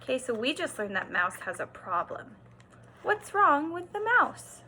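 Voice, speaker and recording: A woman teacher doing an expressive read-aloud for young children, stressing words and pausing to explain and ask simple questions. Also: sits high. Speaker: kindergarten teacher.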